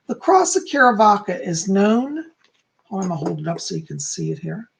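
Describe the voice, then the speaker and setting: A calm, soft-spoken man who is hoarse and losing his voice, teaching on a livestream and reading aloud from a book. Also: high voice, brisk, a little hiss or hum. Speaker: psychic teaching about folk magic.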